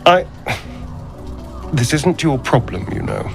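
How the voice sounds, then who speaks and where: Wry, wounded vampire, slow and expressive. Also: male voice, on the high side, soft background music. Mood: guarded, prickly. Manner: theatrical, wry, halting when vulnerable. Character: guarded, wounded vampire man, two centuries old, bluffing with sarcasm. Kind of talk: dramatic video game acting.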